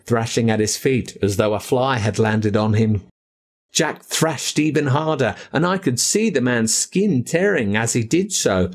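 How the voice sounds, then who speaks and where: Slow, husky Australian storyteller man. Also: medium pitch. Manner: dramatic, drawn-out storybook reading. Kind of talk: reading a spooky children's story aloud.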